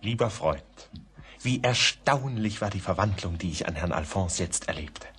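An actor playing a cultured, reflective gentleman artist in a literary radio play, speaking in a measured, polite, contemplative manner. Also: low voice, lively intonation, slight background noise. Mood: reflective, absorbed. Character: cultured, reflective Parisian gentleman sketching and writing letters. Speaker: narrator and character.

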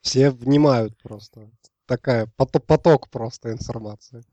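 Mellow man chatting casually. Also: mid-range voice, unhurried, no echo, highs cut off. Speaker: podcast panelist. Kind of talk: casual chat.

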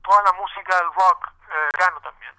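A man's voice: sits high, steady tone, fast talker, pushed and bright, smooth, poor audio. A middle-aged man with a Chilean accent, a musician answering casually in a radio interview, often searching for his words. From Santiago, Chile.

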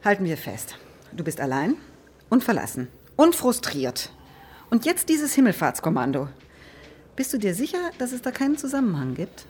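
An actress plays a sceptical female detective in a crime radio drama. She is frank and wry, with an animated, medium-pitched voice and light background noise. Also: moderate pace, natural voice. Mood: concerned, frank. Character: frank, sceptical female police colleague, concerned and teasing. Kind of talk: naturalistic German crime radio drama acting.

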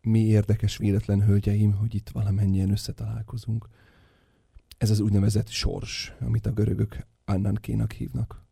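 An actor playing a suave, smug lover in a mannered, ironic theatrical drama. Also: male voice, lowish voice, flat delivery, vocal fry, dark tone, breathy edge, no echo, clean studio sound, exaggerated voice. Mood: smug, amorous. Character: suave, smug male lover among jealous women. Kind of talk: theatrical literary radio drama.

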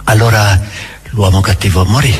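A man telling a story in a theatrical style, with dramatic pauses and repetitions. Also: lowish voice, steady tone, slightly rough.

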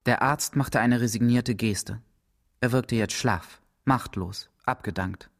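A man's voice: medium pitch, slow. A man reading scripted literary narration as the narrator of a radio drama.

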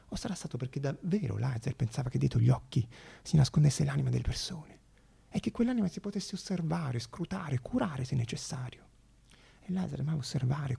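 Casting: male actor-narrator, hushed theatrical storytelling, creaky husky voice, deliberate pauses. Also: medium pitch.

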